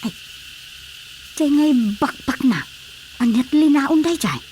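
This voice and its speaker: An actress plays a sympathetic village neighbour in a radio comedy. She is high-voiced and exclamatory, full of questions, over faint background noise. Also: big pitch swings, slow pace, a little mellow, full character voice. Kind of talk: radio drama comedy acting.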